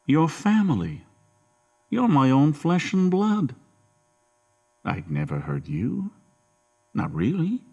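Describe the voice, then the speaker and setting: A man's voice: medium pitch, darkish tone, dull audio, heavily acted. An actor playing a menacing, controlling middle-aged father in an audio horror drama, with a folksy, coaxing, domineering manner. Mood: coaxing, possessive.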